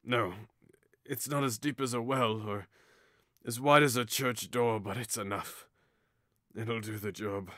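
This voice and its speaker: A man's voice: mid-range voice, fairly even intonation, a little slow, pauses often, fairly bright tone, no echo, studio quality, natural voice. A man playing a hot-headed, mocking young nobleman in an audio drama, with a theatrical, taunting delivery. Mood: wounded, bitter.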